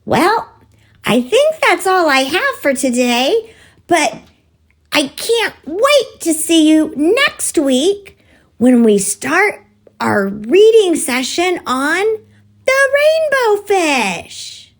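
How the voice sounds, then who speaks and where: A woman voicing an excitable, friendly animal puppet for young children, with simple words and an exaggerated sing-song delivery. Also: very high-pitched, drawn-out words, voice pushed up, full character voice. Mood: gentle teaching. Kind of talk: children's story-time puppet talk.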